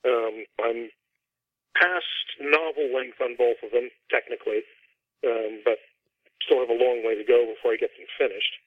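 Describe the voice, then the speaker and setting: A man's voice: mid-range voice, fairly even intonation, normal speed, no echo. Middle-aged man on a dull phone line. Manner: explanatory, talks at length about his fiction. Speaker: author being interviewed. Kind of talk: conversational interview. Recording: dull, band-limited phone or radio-like line.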